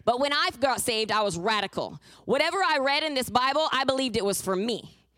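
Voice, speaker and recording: A woman's voice: a little high, expressive, brisk, a bit bright, smooth, no room sound, studio quality. A woman preaching a fervent sermon, moving between emphatic declarations and a personal testimony told as a story. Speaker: guest preacher.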